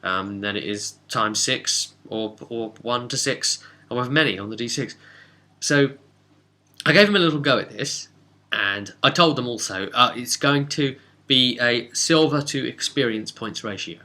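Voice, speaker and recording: A man's voice: medium pitch, normal speed, bright, forceful tone. A British man casually rambling through a recap as game master, chatty and wry, often interrupting himself. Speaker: tabletop role-play game master and host. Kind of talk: casual rambling recap of a role-play session.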